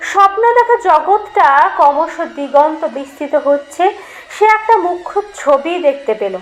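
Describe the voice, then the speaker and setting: Female storyteller, high register, for scripted horror audiobook narration; dramatic, literary read. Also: expressive, normal speed, poor audio.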